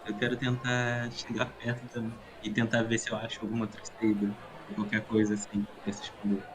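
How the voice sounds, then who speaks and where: A man's voice: fairly low pitch, completely flat delivery, a little fast, a crowd in the background, poor audio. A man playing a tabletop RPG, casually stating his character's actions in first person.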